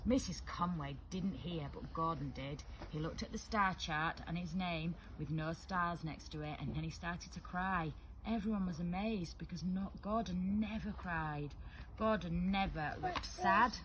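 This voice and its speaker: A woman with a fairly low voice reads a story for young children. Her delivery is expressive and animated, made for a picture book. There is clear noise in the recording. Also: measured pace, poor audio. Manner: animated, acting out dialogue.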